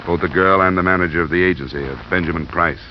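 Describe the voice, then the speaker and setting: An actor playing a steady, probing, dryly wry insurance investigator in 1950s radio drama style, with clipped hardboiled questioning and narration. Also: male voice, low voice, fast, slight background noise, close to an everyday voice. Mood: businesslike. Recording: muffled vintage radio recording, music underneath.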